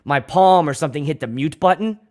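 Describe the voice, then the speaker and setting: A man's voice: fairly high pitch, brisk, a little mellow. A young man from Pittsburgh with a Pittsburgh accent, hosting a casual livestream as a DJ, rambling, swearing freely and restarting his sentences. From Pittsburgh, USA. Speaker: DJ and livestream host.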